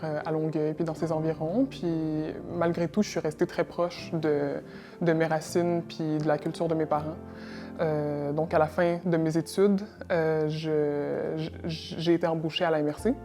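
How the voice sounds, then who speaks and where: A woman's voice: deep voice, level tone, unhurried, over music. A young woman from Russia, answering interview questions about her work in a hesitant way, with many fillers and repeated words. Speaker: interview guest, environmental technician.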